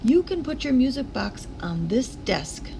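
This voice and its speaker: A woman's voice: fairly high pitch, big pitch swings, unhurried, creaky, noisy background, voice pushed up, exaggerated voice. A woman voicing a kindly, shrewd father in a storybook, encouraging and instructive as he teaches his daughter. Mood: easygoing.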